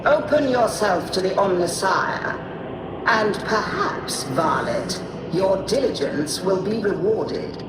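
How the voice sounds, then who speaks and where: A stern tech-priest in a video game. The delivery is slow, solemn and disdainful. The voice sounds distant and echoing, with loud noise behind it. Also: mid-range voice, animated. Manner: solemn, disdainful, measured, formal. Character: stern, condescending, reverent tech-priest of a machine cult. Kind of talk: scripted video game monologue. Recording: echoey, distant, very noisy game ambience.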